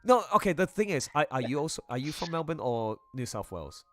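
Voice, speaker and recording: A man's voice: fairly high pitch, sing-song, a little fast, no echo, soft background music. A man hosting a casual group chat on a livestream, often jumping in and repeating his phrases. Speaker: livestream host.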